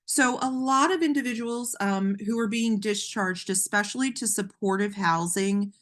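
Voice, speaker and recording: A woman presenting a webinar in a conversational, explanatory way, her voice a little hoarse, with frequent ums and restarts. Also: mid-range voice, normal speed, fairly bright tone. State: slightly hoarse, losing voice.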